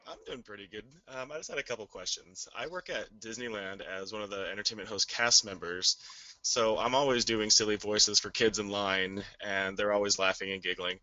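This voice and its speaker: A man with a naturally deep voice, asking a voice coach questions on a webinar in an eager, rambling way. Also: fairly quick, bright, forceful tone, dull audio. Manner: eager, rambling, hesitant fillers. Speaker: webinar caller, aspiring voice actor.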